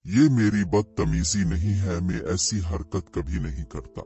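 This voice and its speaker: Man playing a deep-voiced swaggering storybook braggart. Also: measured pace, dark tone. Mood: defensive. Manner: bragging, scornful. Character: swaggering, boastful male hunter of tall tales. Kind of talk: children's audio story dialogue. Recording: voice over clear background music.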